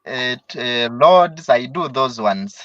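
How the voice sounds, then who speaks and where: Young ugandan-accented guy calling in, talks super slow and halting, dull dry call sound. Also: mid-range voice, lively intonation, a bit bright, dry recording. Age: young adult. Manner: hesitant, halting, with fillers and laughs. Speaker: caller, student and travel vlogger. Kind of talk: live call-in chat.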